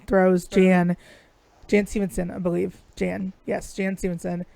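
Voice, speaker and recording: Raspy-voiced woman telling stories. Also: lowish voice, little pitch movement, a little slow, dark tone. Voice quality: raspy today. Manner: profane, storytelling. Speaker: podcast co-host. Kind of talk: casual chat.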